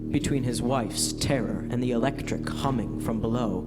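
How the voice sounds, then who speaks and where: A man as suspense narrator over loud music. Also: mid-range voice, normal speed, close to an everyday voice. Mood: ominous. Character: grave storyteller narrator of a suspense tale. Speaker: narrator of a live radio play. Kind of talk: scripted narration, classic radio drama. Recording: echoey stage hall, loud music behind.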